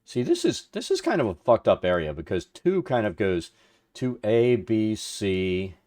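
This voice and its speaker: A middle-aged man with a deep radio-like voice, chatting casually and thinking aloud as he hosts a livestream for his viewers. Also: very animated, measured pace, a little mellow. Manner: rambling, informal, thinking aloud. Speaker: livestream host. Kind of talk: casual chat with stream viewers while working.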